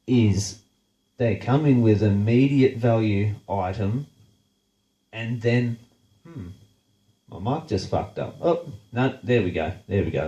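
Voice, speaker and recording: Australian man, stuffy nasal voice, mellow and low, echoey room. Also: muffled recording. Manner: blunt, sarcastic, swears freely. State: congested, nasal from a blocked nose. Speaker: show host. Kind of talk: casual profane rant.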